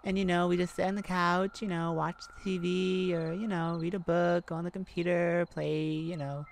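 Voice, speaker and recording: A woman's voice: on the low side, little pitch movement, normal speed, lightly acted. A woman playing a jovial, affectionate girlfriend in an intimate, casual ASMR roleplay, with a playful, chatty manner. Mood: tender, relaxed. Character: jovial, affectionate girlfriend treating partner to a night out. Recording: clear background ambient noise. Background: ambient sound effects.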